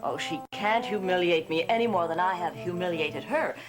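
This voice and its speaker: A woman's voice: fairly low pitch, moderate pace, music in the background, natural voice. An actress playing an anxious, genteel middle-aged mother, polite and a little hesitant, in gothic soap opera style. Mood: worried, reproving.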